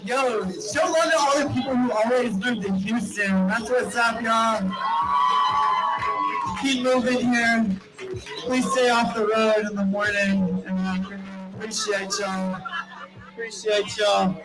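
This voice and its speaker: A man, hosting an open mic and hyping the crowd loudly. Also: high-pitched, steady tone, a little slow. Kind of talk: stage hosting. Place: live venue. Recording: echoey room from far off, loud music.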